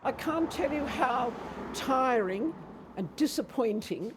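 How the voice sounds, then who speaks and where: A woman interviewed for a news story, speaking in a slow, emphatic and aggrieved way. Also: high-pitched, lively intonation, noisy background.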